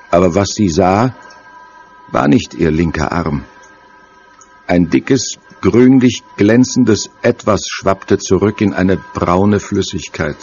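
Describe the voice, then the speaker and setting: Male narrator for horror audio play: deep, measured, ominous, slightly muffled over music. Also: a little mellow. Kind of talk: scripted horror story narration.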